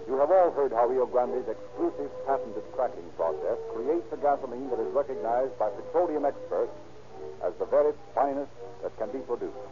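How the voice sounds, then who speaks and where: A man's voice: medium pitch, expressive, brisk, over music. A man announcing and narrating a 1930s radio program in a formal, emphatic scripted delivery on a tinny old recording.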